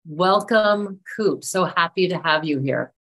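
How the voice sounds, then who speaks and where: A woman's voice: fairly low pitch, a little slow, a bit bright. Enthusiastic woman hosting an interview. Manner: enthusiastic, effusive. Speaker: podcast host.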